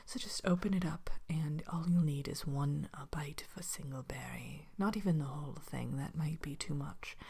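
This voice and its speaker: A woman voicing a politely sinister evil queen who runs a potion shop, in a soft, breathy, hesitant ASMR whisper. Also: deep voice, full character voice. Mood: helpful, unhurried. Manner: hesitant, genteel, unhurried, matter-of-fact about dark magic.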